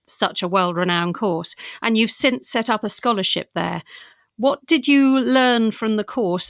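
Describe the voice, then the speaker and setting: A woman's voice: mid-range voice, a bit bright, dry recording, studio quality. A British woman interviewing a guest on a podcast, with semi-scripted questions. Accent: British English.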